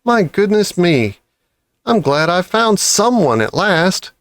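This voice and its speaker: A man puts on a gruff badger from a children's storybook. He exclaims heartily with relief, his pitch lifted, in a dry close recording. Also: big pitch swings, slow, no echo, heavily acted. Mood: relieved, surprised. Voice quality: deep.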